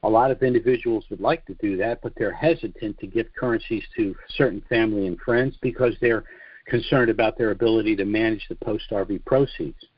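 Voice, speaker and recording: Deliberate American man on a dull conference line. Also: fairly low pitch, slightly rough, dry recording. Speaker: conference call host and financial promoter. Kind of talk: monologue briefing on a conference call. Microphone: conference call line. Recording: dull, lo-fi conference call audio.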